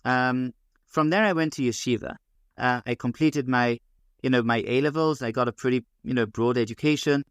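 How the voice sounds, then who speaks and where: A middle-aged man from London with a London English accent, speaking as a guest rabbi in a podcast interview, measured and full of fillers and restarts. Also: mid-range voice, clean tone, no room sound, studio quality. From England.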